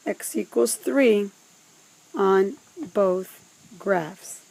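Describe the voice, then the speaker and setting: A woman teaching math step by step, speaking in a deliberate, instructive way with pauses between phrases. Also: mid-range voice, vocal fry, poor audio. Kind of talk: scripted step-by-step instructional narration.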